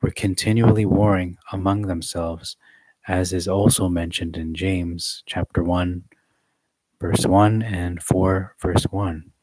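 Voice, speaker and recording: Low-voiced guy reading a study out loud, dry close sound, jokes around between bits. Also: steady tone, dry recording, poor audio. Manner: measured reading broken by joking asides. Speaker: reader of a study text. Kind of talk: reading a book aloud with asides.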